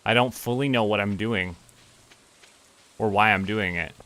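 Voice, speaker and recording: A man's voice: fairly low pitch, a little slow, pushed and bright. A man, playfully thinking aloud and narrating what he does as he streams a game. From Canada. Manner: playful, narrates own actions and reads game text aloud.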